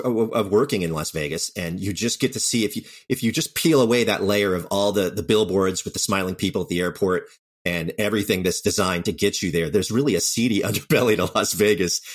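A man's voice: fairly low pitch, fast talker, slightly rough. A man answering as an interview guest in a conversational, reflective way, repeating words as he thinks aloud. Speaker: writer and creator, interview guest.

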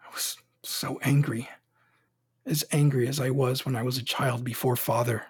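A husky, brooding young emperor. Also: male voice, mid-range voice, fairly even intonation, moderate pace. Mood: brooding, sorrowful. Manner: brooding, clipped, formal. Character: angry grieving young emperor, brooding and proud. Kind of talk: audio drama acting with first-person narration.

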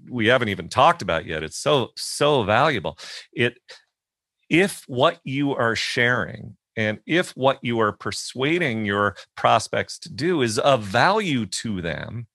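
A man's voice: mid-range voice, sing-song, unhurried, bright, forceful tone, dry recording. A man hosting a podcast, speaking in an earnest, emphatic way. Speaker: podcast host. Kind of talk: casual interview talk.

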